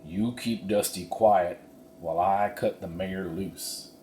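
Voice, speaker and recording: A man voicing a calm, commanding cowboy leader in a storybook, giving clipped orders in a hushed voice. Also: low voice, slow pace. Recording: roomy echo, faint background noise.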